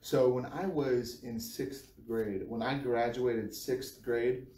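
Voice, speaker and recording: A mellow-voiced American man speaks informally, like a church devotional on a livestream. He halts and doubles back on phrases. The sound is roomy and a bit distant from the phone, with faint noise. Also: mid-range voice, fairly even intonation. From United States. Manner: halting, repeats phrases as he goes. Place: small bare-walled room indoors. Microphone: phone camera a few feet away.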